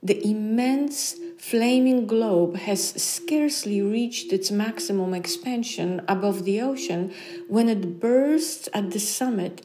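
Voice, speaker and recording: A woman reading literary science fiction aloud in measured, deliberate phrases. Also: medium pitch, dark tone. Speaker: reader. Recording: clearly audible background ambient noise.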